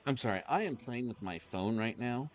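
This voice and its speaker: A man hosting a game livestream, chatting casually in a halting, rambling way. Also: medium pitch, lively intonation. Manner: halting and rambling, many restarts. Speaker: game streamer, host.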